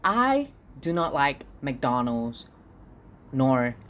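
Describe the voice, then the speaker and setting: A man's voice: mid-range voice, very animated, drawn-out words, fairly bright tone, slight background noise. A young man from Singapore, talking alone in a casual podcast diary at home, ranting and swearing with stammered repeats. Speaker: podcast host.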